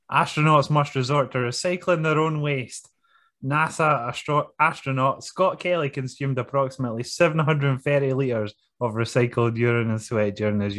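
A man's voice: mid-range voice, a bit bright. Laid-back man co-hosting a podcast. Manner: dry, laid-back, reads out trivia, chuckles. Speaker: podcast co-host. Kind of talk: casual chat.

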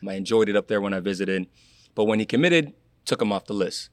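A young man from Florida chats in a casual interview, his voice somewhat low and the sound dry and close. He tells his stories with energy, slipping into other people's voices as he goes, and laughs easily. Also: quick pace, no room sound. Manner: animated storyteller, acts out quotes, laughs. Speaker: guest, former college athlete.

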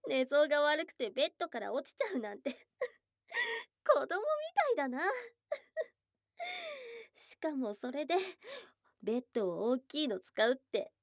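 A woman's voice: high voice, animated, normal speed, long pauses, no room sound, studio quality. A woman playing a young goblin girl, a blunt, teasing yet shy girlfriend, in an intimate ASMR voice drama. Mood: amused, teasing. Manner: blunt tomboyish speech, teasing and bashful. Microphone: close dry studio microphone.

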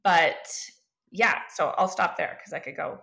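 Woman guest on a video call, lower voice, rambly and laughy, lots of ums. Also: unhurried, gravelly, a bit bright. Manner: chatty, laughs often, many fillers. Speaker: co-author, guest. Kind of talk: casual interview talk.

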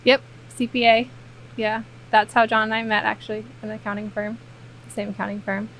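A woman's voice: fairly high pitch, level tone, brisk, a bit bright, slight background noise, low-quality recording. A woman from the Maryland and Virginia area, a guest accountant chatting casually on a podcast with lots of fillers. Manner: chatty, frequent fillers, laughs easily. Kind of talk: casual interview chat.

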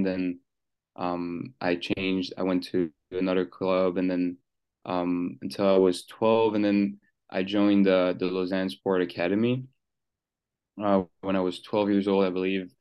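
A young man from Switzerland speaks in a very low, even tone, hardly moving his pitch. His voice is smooth and clear. He talks hesitantly, with frequent ums and restarts, as a guest being interviewed. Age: young adult. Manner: hesitant, many fillers and restarts. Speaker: guest, soccer player. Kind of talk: casual interview.

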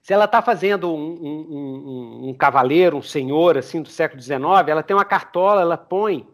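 A Brazilian man chatting casually as a podcast host, telling stories in an animated way. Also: a little high, brisk. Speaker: podcast host, game master.

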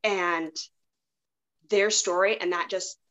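A woman's voice: fairly low pitch, steady tone, slow, a bit bright. A woman hosting a podcast, chatting casually and telling anecdotes in a rambling way. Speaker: podcast host. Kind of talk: casual chat.

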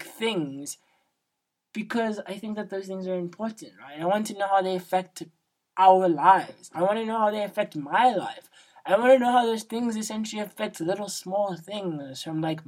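A young man with a feminine-sounding voice hosts a solo podcast, talking casually and reflectively in slangy speech peppered with "like" and "right?". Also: a little mellow. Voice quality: feminine-sounding, not a deep baritone. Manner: informal, slangy, seeks agreement with frequent "right?" and "like". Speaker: podcast host.

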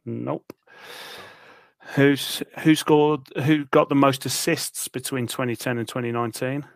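Man with a gravelly voice. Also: mid-range voice, steady tone, measured pace, no room sound. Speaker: podcast co-host running the quiz. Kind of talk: casual chat, quiz questions.

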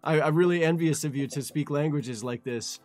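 A middle-aged man from Canada, chatting casually and warmly on a call, with many fillers and restarts. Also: a little high, brisk. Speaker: caller in a language exchange chat. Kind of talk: casual chat.

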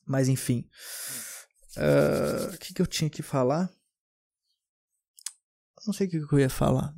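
A young man from southern Brazil chats on his podcast, drifting from one anecdote to the next. His voice sits fairly high for a man, and he keeps restarting his sentences in an easygoing, rambling way. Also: measured pace, gravelly, mellow. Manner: rambling, loose anecdotes with many restarts and filler. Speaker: podcast host. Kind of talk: casual storytelling chat.

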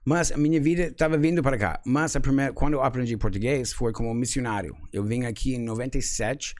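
A man's voice: medium pitch, quick pace. A man in his forties, a native English speaker, talking casually and laughing often as an interview guest, with frequent tag questions. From United States. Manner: relaxed, frequent "sabe?" tags, laughs often. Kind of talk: casual conversation.

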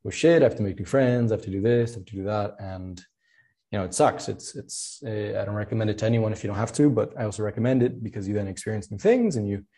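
A young man from Spain, chatting casually as an interview guest. His voice sits a little low, and he rambles through restarts and fillers, laughing often as he goes. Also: quick pace, warm tone. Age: 20s. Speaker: podcast guest, product designer.

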